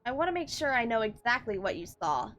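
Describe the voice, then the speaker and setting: Lively, higher-voiced woman streamer. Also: a little fast. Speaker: live game streamer. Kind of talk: casual reactions and reading game dialogue aloud. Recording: game music behind the voice.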